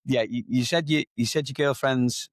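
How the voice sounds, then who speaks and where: Male podcast host, casual, friendly, says mate, mid pitch, dry sound. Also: fairly even intonation, normal speed, dry recording. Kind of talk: casual interview chat.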